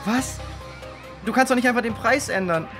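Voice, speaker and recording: A man's voice: very high voice, moderate pace, music in the background. A man playing an earnest, trusting schoolboy tinkerer in a children's audio drama, with an explaining manner. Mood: flustered, dismayed.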